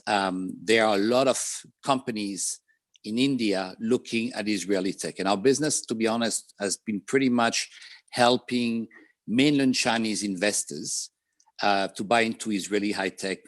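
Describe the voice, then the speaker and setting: A man from France with a French accent, presenting as a panelist on a video call, measured and pausing with "um" between points. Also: on the low side, dry recording.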